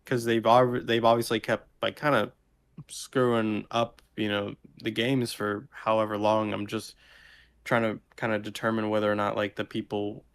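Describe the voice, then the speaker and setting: A man co-hosting a casual podcast, speaking in a halting way as he searches for words. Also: on the low side, flat delivery, clear, resonant tone. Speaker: podcast co-host.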